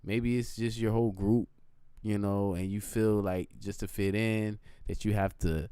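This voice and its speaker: Male streamer, low relaxed voice, informal off-the-cuff monologue, conversational check-ins, dry close-mic sound. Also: a little slow, clear, resonant tone, no room sound. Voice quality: deep, low voice. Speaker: live streamer. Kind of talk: casual rambling monologue to a stream audience.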